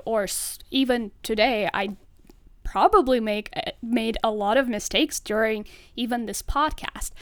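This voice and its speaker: A woman's voice: a little high, no echo. Young woman, upbeat. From Russian-speaking country. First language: Russian. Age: 20s. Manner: chatty, earnest, upbeat. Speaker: podcast host. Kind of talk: solo podcast monologue.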